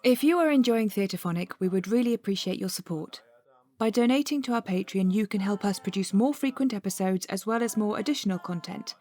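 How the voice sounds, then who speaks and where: A woman with a medium-pitched voice reads a podcast announcement. The delivery is a scripted promotional appeal to listeners. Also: brisk, warm tone.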